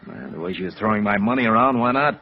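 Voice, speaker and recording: A man's voice: on the low side, animated, fast talker. Dull vintage radio sound, middle-aged American hotel man, chagrined, exasperated, emphatic, radio drama. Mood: humiliated, emphatic. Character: chagrined, rueful gray-haired hotel operator, thirty years in business. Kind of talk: 1950s radio drama acting.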